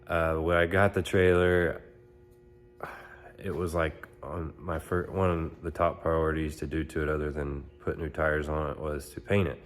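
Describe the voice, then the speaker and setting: Low-voiced young man with a stuffy cold. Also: moderate pace. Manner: relaxed rambling with fillers. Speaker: channel host. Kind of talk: casual how-to vlog. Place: pole barn, then outdoors on a lawn. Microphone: phone at arm's length, then phone camera behind it.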